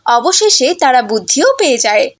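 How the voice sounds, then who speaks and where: Female storyteller for children's cartoon: high voice, lively swooping intonation, scripted narration. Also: brisk, very noisy.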